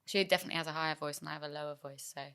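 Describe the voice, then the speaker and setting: A woman's voice: deep voice, fairly even intonation, very fast, gravelly, sharp tone, clear, resonant tone. A young woman musician from England chatting casually in an interview, with lots of fillers and restarts. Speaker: musician guest.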